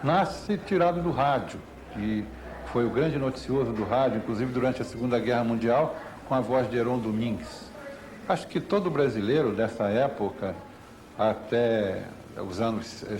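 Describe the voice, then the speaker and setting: A man's voice: mid-range voice, darkish tone. A Brazilian man giving unscripted explanations, posing rhetorical questions and answering them himself. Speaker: interviewed commentator on media history. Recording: faintly noisy old TV interview.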